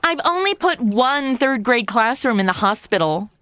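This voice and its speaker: Casting: self-absorbed, snarky young woman in comic audio drama, bright forceful tone, swooping petulant delivery. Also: fairly high pitch, very animated, moderate pace, no echo. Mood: flippant. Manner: sarcastic, petulant, valley-girl phrasing. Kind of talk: comedy audio drama acting.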